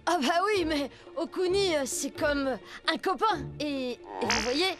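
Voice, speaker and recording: Cocky high-voiced show-off boy. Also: animated, measured pace, over music. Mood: condescending. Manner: swaggering, condescending. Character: cocky, boastful, show-off young boy ninja. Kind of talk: French cartoon dub.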